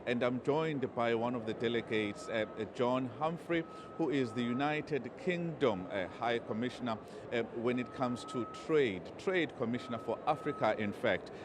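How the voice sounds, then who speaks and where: A male business reporter interviewing a guest live, halting often with many fillers. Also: medium pitch. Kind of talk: live outdoor news interview. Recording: street noise behind.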